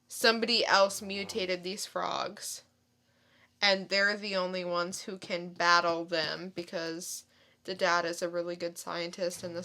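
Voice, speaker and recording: A woman co-hosting a podcast in casual chat, thinking out loud in a halting way. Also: on the low side. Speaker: podcast co-host. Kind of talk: casual comic book chat.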